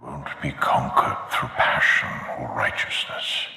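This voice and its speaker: A man playing the stern, commanding ghost of an armored Sith lord in a video game, with a slow, gravelly, processed voice. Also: fairly even intonation, pushed and bright, exaggerated voice. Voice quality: gravelly, breathy menacing rumble. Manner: slow, solemn, declamatory. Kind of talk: dramatic video game voice acting. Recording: long echoing reverb, faint noise.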